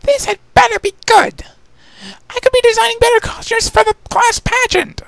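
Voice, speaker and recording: Man putting on a very high, theatrical lady unicorn. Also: steady tone, moderate pace, gravelly, fairly bright tone, no room sound, heavily acted. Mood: exasperated, dramatic. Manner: gushing, theatrical. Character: refined, dramatic, gushing lady unicorn pony.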